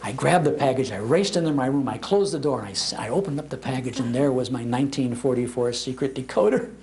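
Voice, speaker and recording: An older man with an American accent giving a talk on old-time radio, telling humorous anecdotes in an animated way and acting out the lines he quotes. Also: sits high. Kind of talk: humorous anecdotal storytelling to a live audience. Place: public library talk.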